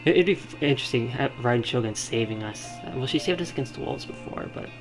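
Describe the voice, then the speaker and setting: A man giving casual live-stream game commentary, rambling and halting as he thinks aloud. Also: mid-range voice, lively intonation, creaky, rough recording. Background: game music.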